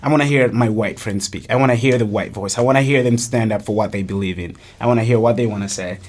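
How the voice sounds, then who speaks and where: A man's voice: on the low side, fast, darkish tone. A young man from Uganda, a college soccer player, earnestly telling his story on a casual podcast, often restarting his sentences. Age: young adult.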